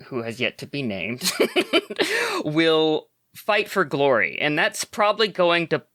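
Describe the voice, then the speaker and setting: A man's voice: mid-range voice, sharp tone, dry recording, clean studio sound. A man playing in a tabletop game, weighing story options aloud with the game master. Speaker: player and guest. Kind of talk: collaborative planning for a tabletop game.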